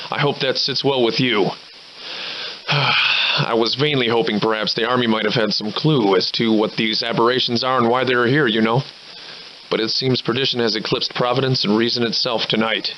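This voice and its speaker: A man's voice: medium pitch, a little hiss or hum, natural voice. A man voicing a surly, hard-drinking, grandiloquent survivor in a video game, with florid old-fashioned diction, in slightly muffled audio. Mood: grave, determined, then weary, wry. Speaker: video game protagonist voice actor. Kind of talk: theatrical video game character dialogue.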